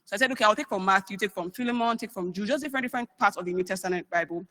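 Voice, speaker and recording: A woman's voice: mid-range voice, racing, fairly bright tone. A young woman giving a chatty conference research talk, full of fillers. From Nigeria. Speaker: researcher presenting.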